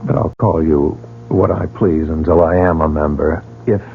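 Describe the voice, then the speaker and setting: A man's voice: rumbling low, steady tone, moderate pace, vocal fry, a little hiss or hum. A man playing a curious, guarded newcomer to a mysterious club in an old radio drama. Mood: wary. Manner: inquisitive, wary. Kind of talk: 1940s radio drama acting. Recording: phone-like old radio broadcast.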